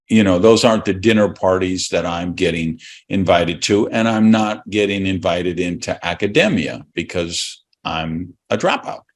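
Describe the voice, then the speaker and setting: Midwestern male host, low voice, slow unhurried delivery, reflective, pauses to find words. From Madison, Wisconsin, USA. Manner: reflective, drawling, searching for words. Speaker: podcast host. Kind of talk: casual conversation.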